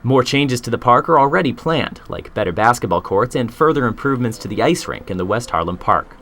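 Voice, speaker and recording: A man's voice: medium pitch, lively intonation, fairly quick, fairly bright tone, a little hiss or hum. A man with an American accent reading a scripted news report as a reporter and anchor.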